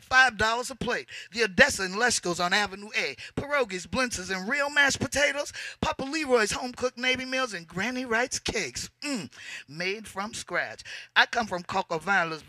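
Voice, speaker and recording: A middle-aged woman delivers spoken-word poetry in a high, piercingly forceful voice. She is theatrical and declamatory, building refrains like chants. The sound is dry, from a handheld mic outdoors. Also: no room sound. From United States, Boston. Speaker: poet, spoken-word performer. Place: outdoor poetry event at a podium in a cemetery. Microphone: handheld microphone held close.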